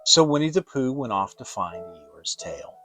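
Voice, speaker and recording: Male narrator, dramatic children's story reading, deliberate slow pace, very lively intonation, music behind. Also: mid-range voice. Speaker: audiobook narrator.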